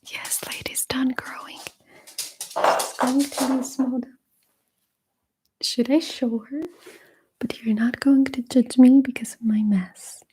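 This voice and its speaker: A young woman whispering softly in a breathy voice, a native Russian speaker chatting unhurriedly as she answers viewers' questions in an ASMR stream. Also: high-pitched, steady tone, slight background noise, rough recording. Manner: soft, unhurried, chatty, laughs often.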